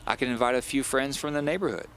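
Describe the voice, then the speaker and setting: A man's voice: mid-range voice, fast talker, fairly bright tone, a little hiss or hum, pitched above the performer's own voice, lightly acted. A man voicing a kindly, grandmotherly widow with a folksy, teasing, chatty manner in an audio drama.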